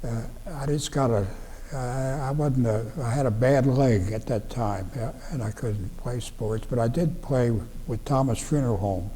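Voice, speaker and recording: An elderly man from Ohio, in his nineties, recalls old times in plain, halting speech full of restarts. His voice is dark and mellow. It rings with a long reverb in a library room, and a faint noise sits underneath. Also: mid-range voice. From Ohio, USA. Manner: halting, plain-spoken, frequent restarts and fillers. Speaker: veteran interviewee. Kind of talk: oral history recollection.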